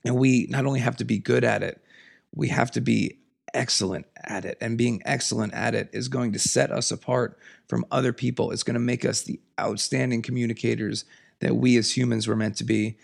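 Raspy, gravelly man with a cold. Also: fairly even intonation, fairly quick. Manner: emphatic, earnest, passionate. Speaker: podcast host. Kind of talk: solo podcast monologue. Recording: lower audio quality.

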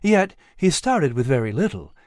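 A man's voice: on the high side, big pitch swings, moderate pace, darkish tone, dry recording, studio quality. A man voicing a friendly, well-informed woman talking to her animal friends in a storybook. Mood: informative.

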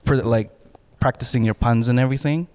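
A man's voice: mid-range voice, fairly even intonation, fairly quick, a little mellow. Joking man co-hosting a podcast. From Australia. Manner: jokey, punning, laughs often. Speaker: podcast co-host. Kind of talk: casual podcast banter.

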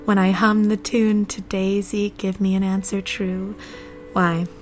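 A woman's voice: mid-range voice, flat delivery, a little slow, faint music behind, natural voice. A woman playing an anguished, defiant teenage girl in love, reading her diary in a dramatic monologue. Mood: dreamy, tender.

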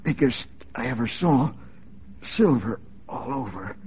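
An actor playing a weak, wounded young constable gasping faintly in a very husky voice, in a 1940s radio drama. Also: male voice, mid-range voice, lively intonation, measured pace, heavily acted. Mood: pained, faint. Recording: dull 1940s radio recording.

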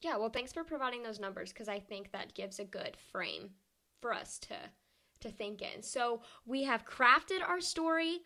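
A podcast host, a woman with a bright, sharp voice sitting a little above the usual pitch. She sounds upbeat and eager, piling on praise as she steers the talk. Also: lively intonation, moderate pace. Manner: upbeat, enthusiastic, lots of praise. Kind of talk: casual interview.

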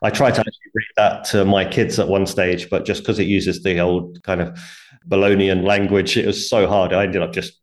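Man, deep low voice, earnest, explanatory, interview guest. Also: little pitch movement, a little fast, poor audio. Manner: earnest, explanatory, with light laughs. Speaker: author and guest. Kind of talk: conversational interview.

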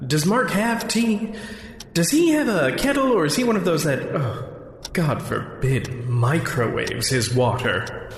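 A man playing a fussy, fretful character in an audio drama, muttering hesitantly and fastidiously to himself. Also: fairly high pitch, slight background noise, heavily acted. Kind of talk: naturalistic audio drama acting. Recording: echoey, long reverb.

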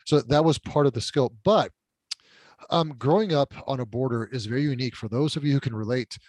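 A man's voice: mid-range voice, fairly quick, a little mellow, no room sound, low-quality recording. A middle-aged man whose first language is Spanish, telling his life story as a podcast guest in a reflective, self-deprecating way. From California border town, Mexican side. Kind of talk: conversational storytelling interview.

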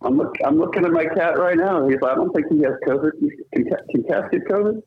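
A man's voice: fairly high pitch, a little fast, slightly rough. A man bantering casually and improvising prank calls as a podcast guest, heard over a lo-fi connection. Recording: dull, lo-fi phone-like connection.

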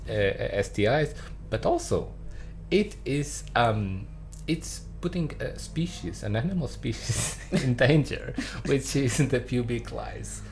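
A man co-hosting a podcast in a studio, chatting casually with chuckles and halting fillers. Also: medium pitch, animated, darkish tone, slight background noise. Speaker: podcast co-host. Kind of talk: casual chat.

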